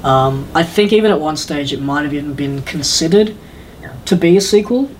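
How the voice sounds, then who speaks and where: A man's voice: fairly high pitch, lively intonation, normal speed, a little mellow, slight background noise. A man co-hosting a podcast in casual conversation, laid-back and slangy but enthusiastic about what he describes. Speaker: podcast co-host.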